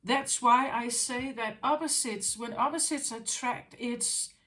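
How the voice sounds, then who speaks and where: A woman with a fairly high voice, speaking slowly in an unhurried spiritual monologue. She muses aloud, circles back over her phrases and keeps checking in with "right?". She sounds like a tarot reader talking straight to her viewers. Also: fairly bright tone. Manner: musing, repeats phrases, asks "right?".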